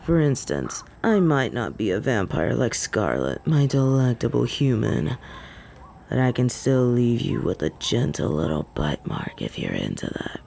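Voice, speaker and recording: A woman's voice: rumbling low, wildly swooping pitch, a little slow, creaky, warm tone, slight background noise, pitched below the performer's own voice, full character voice. A woman voicing a cocky, flirty female werewolf in a roleplay audio drama, possessive and teasing with a drawl. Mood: seductive.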